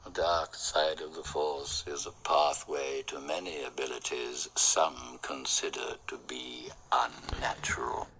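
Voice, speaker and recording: A man playing a sinister old dark lord in a film, speaking slowly in a hushed, menacing way. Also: extremely low pitch, expressive, vocal fry, crowd noise, exaggerated voice, low-quality recording.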